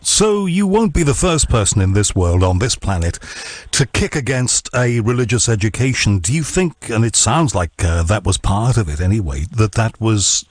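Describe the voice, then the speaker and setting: A man's voice: on the low side, lively intonation, normal speed, few pauses, dry recording. A man hosting a radio interview, asking questions in a conversational, encouraging way. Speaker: interview host.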